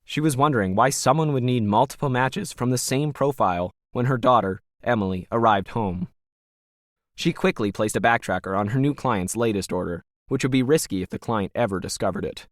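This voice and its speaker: A man's voice: medium pitch, brisk, no echo, clean studio sound. A man reading scripted fiction as a narrator.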